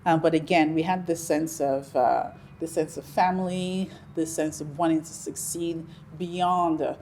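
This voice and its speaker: A middle-aged woman from Nigeria, a Yoruba speaker, talking about her life in a relaxed, reflective interview, with frequent ums and restarts. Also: sits low, unhurried, a little hiss or hum. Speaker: interview guest. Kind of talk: conversational interview.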